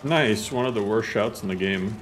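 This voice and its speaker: A man's voice: on the low side, moderate pace, a little hiss or hum. A man giving casual, joking gameplay commentary, rambling and self-deprecating. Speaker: game streamer.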